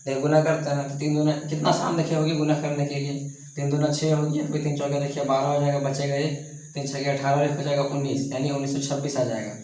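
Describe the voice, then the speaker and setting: A young man teaching math on a board, speaking softly with a sore throat, coughing and restarting his sentences. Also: on the high side, level tone, quick pace. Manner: repeats and restarts himself, coughs now and then. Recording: echoey room.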